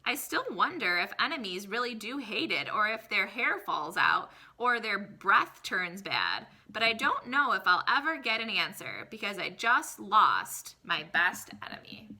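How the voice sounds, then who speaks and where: A woman reading a picture book aloud expressively, like a teacher, pausing to explain and question young listeners. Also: medium pitch, very animated, bright, forceful tone. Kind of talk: expressive children's read-aloud.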